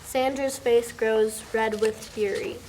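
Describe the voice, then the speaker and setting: A woman's voice: on the high side, steady tone, slow pace, a little mellow, rough recording. A woman reading out scripted stage directions as the narrator at a table read. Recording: noticeable background noise, amateur room recording.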